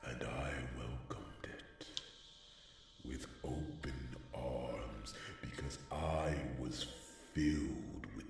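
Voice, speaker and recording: A man playing a mighty, sorrow-worn dragon alpha in a fantasy roleplay, speaking solemnly and grandly with long dramatic pauses. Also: fairly even intonation, a little mellow, full character voice. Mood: sorrowful, resolute. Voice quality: very deep, gravelly, breathy at times. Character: mighty, grieving male dragon alpha rallying his kin. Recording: long cavernous reverb, music underneath. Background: music.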